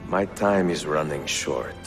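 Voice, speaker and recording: An actor playing an aging Italian master assassin, grave, courteous and world-weary, in a video game, with an Italian accent and a gravelly voice. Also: male voice, very deep voice, slow pace. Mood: courteous, gentle. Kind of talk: cinematic video game acting. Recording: music behind the dialogue.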